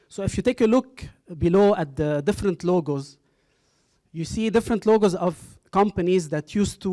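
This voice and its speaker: Male workshop presenter, fairly high voice, relaxed and halting, hall mic. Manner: relaxed, with frequent ums and uhs. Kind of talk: conference presentation. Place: university lecture hall. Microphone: handheld microphone.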